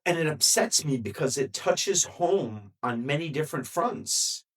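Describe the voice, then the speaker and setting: A man giving solo opinion commentary in a measured, deliberate way, with emphatic pauses between phrases. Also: fairly high pitch, expressive, a bit bright, rough recording. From United States. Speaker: commentary vlogger.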